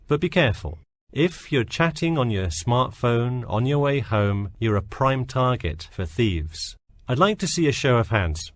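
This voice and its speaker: A man playing an earnest campus safety officer, giving a measured, instructive scripted talk to students. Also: medium pitch, dry recording, natural voice.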